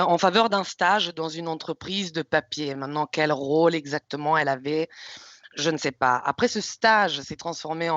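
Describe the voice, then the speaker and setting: A woman from Luxembourg, around her thirties, speaking in an expressive, candid and emphatic way as a manager on a career panel. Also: low-pitched, dry recording. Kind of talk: informal panel presentation and storytelling. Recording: slightly dull, lo-fi audio.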